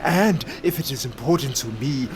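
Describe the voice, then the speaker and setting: An actor playing a menacing, volatile pirate captain who commands and taunts in an audio drama. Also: male voice, medium pitch, lively intonation, normal speed, heavy background noise, lightly acted. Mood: menacing, taunting. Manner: commanding, taunting, explosive when crossed.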